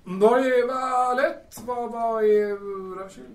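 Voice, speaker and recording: An actor in an audio drama playing a boastful, cantankerous young absinthe-drinking poet with halting, repetitive, bombastic delivery. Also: male voice, very high-pitched, fairly even intonation. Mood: groggy. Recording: roomy, distant mic, faint noise.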